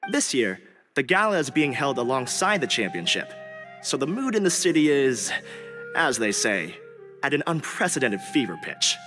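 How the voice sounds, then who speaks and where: A man's voice: medium pitch, big pitch swings, moderate pace, bright, forceful tone. A man voicing a courteous, earnest young academic organizer in a video game, speaking in a polite, formal, explanatory way. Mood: polite, informative. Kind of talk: video game character acting. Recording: long reverb, music behind.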